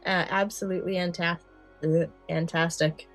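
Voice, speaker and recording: A woman's voice: lowish voice, level tone, moderate pace, faint music behind, rough recording. A woman co-hosting a podcast in casual chat, recounting favourite stories and often correcting herself. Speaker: podcast co-host.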